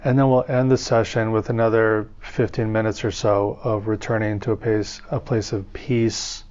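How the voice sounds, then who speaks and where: A man leading a guided meditation as a teacher, speaking in calm, soothing, measured phrases with pauses between them. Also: fairly low pitch, clean tone, lo-fi sound.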